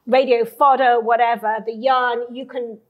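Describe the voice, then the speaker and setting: A woman's voice: fairly high pitch, flat delivery, unhurried. A woman co-presenting a conversational instructional discussion, prompting and summarising points.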